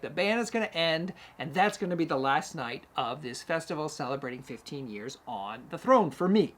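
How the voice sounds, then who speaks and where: A man's voice: fairly high pitch, a bit bright. A man hosting a music talk, telling history stories casually and animatedly, acting out short quotes as he goes. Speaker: host, music educator and conductor. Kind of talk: casual storytelling about classical music history.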